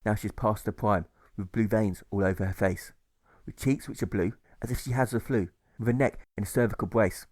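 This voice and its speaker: A man's voice: on the low side, steady tone, a little fast, darkish tone, no echo. A man reading a rhyming story as scripted narration, with a rhythmic storybook delivery. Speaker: author reading own audiobook.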